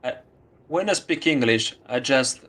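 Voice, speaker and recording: A man with an Italian accent, speaking English as a second language in a casual conversation, halting with many fillers. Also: medium pitch, lively intonation, a bit bright. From Italy. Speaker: student.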